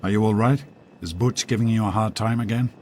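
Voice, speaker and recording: An actor playing a calm, caring middle-aged father, reassuring and measured, in a video game. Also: fairly low pitch, background noise, natural voice. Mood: concerned, reassuring.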